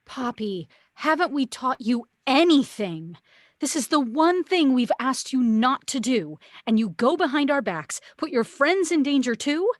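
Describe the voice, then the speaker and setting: A woman's voice: sits high, animated, a little slow, dry recording. Woman as a stern, worried mother, close and dry. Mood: scolding, worried. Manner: stern, scolding. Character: upset, worried, reproachful mother. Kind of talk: at-home script table read.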